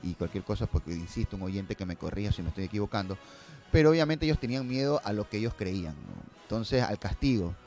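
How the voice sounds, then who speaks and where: A man's voice: lowish voice, sing-song, quick pace, a little mellow, faint music behind. A man talking casually on a podcast as a guest, explaining step by step and often checking in with his listeners. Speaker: guest filmmaker.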